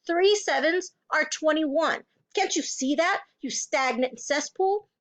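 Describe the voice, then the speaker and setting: A woman's voice: high-pitched, expressive, normal speed. A woman voicing a huge, tyrannical headmistress with an explosive temper, barking in an overbearing way. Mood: furious contempt.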